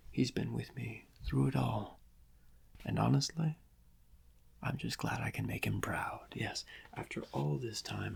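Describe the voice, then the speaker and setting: A gloating criminal mastermind played by a man for an ASMR roleplay, vain and melodramatic. The voice is half whispered and very husky, crackling with heavy fry. Each phrase is savoured slowly, with long theatrical pauses. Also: medium pitch, darkish tone, exaggerated voice. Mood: gloating, smug. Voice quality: breathy, whispery, gravelly. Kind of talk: soft-spoken ASMR villain roleplay.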